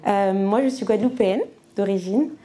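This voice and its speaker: A woman's voice: mid-range voice, brisk, mellow. A woman in her thirties, from France, giving a personal church testimony in a conversational way with frequent hesitations. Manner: conversational, many fillers and restarts.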